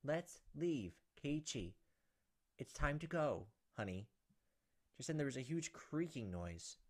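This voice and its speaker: A man's voice: mid-range voice, big pitch swings, takes it slowly, darkish tone. A man hosting a gaming video, reading visual novel text aloud dramatically with joking, exasperated asides. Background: game music and cricket sounds.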